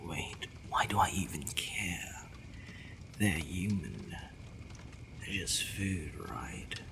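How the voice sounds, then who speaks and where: A man playing an imperious but secretly softening male vampire in an ASMR roleplay, drawling theatrically with murmured asides. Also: low-pitched, animated, slow pace, creaky, heavily acted. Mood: brooding, conflicted.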